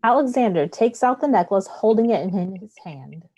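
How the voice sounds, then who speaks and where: A woman's voice: mid-range voice, lively intonation, normal speed, warm tone, husky. A woman reading stage directions as the narrator of a play, in scripted narration.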